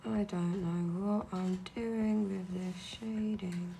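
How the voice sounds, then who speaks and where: A woman's voice: mid-range voice, fairly even intonation, takes it slowly, gravelly, warm tone, slight background noise. A young woman streaming while she draws, chatting casually in a blunt, sweary, ranting way. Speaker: streamer and artist.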